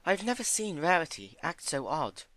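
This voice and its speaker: A gentle, timid young female pony played by a man reading a story aloud. The voice sits high and light, earnest and a little hesitant, with lively swings in pitch. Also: moderate pace, dry recording. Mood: earnest, puzzled.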